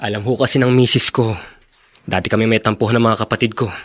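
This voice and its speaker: A man's voice: lowish voice, flat delivery, fast, natural voice. A man playing a bashful but proud, respectful adult Filipino son in a radio drama. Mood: hesitant, sheepish.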